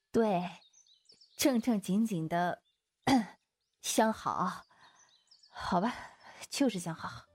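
A woman's voice: medium pitch, expressive, drawn-out words, long pauses, no room sound. An actress playing an easygoing, apologetic Taoist swordswoman in a wuxia audio drama, speaking in a hesitant, roundabout way. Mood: flustered.

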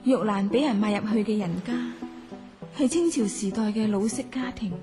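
A woman's voice: medium pitch, moderate pace, over music, close to an everyday voice. A woman playing a young novelist who narrates her sombre story in a radio drama. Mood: sombre storytelling.

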